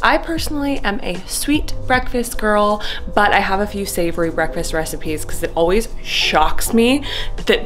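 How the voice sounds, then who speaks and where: A young woman chatting to her camera in a home kitchen, gushing and enthusiastic about her food. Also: fairly low pitch. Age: young adult. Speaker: vlogger. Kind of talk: casual vlog chat to camera. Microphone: handheld camera held close.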